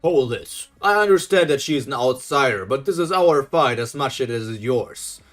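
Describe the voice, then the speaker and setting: A man voicing a proud, hot-headed male fishman karate fighter who loudly announces his technique names while reading a story aloud. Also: medium pitch, lively intonation, normal speed, faint music behind, close to an everyday voice. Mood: defiant. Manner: declaiming, loud shouted technique names.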